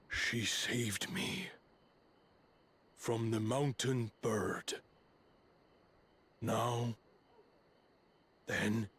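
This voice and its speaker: Stern proud warrior, extremely slow, raspy and husky, halting weighty phrases, fantasy game. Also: male voice, on the low side, a bit bright, exaggerated voice. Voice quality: very gravelly, husky. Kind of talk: fantasy video game acting.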